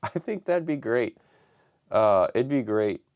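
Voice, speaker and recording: A man hosting a live stream from his home studio, raspy from the flu and talking loosely with many fillers. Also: mid-range voice, expressive, slow pace, a little mellow. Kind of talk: casual live stream talk.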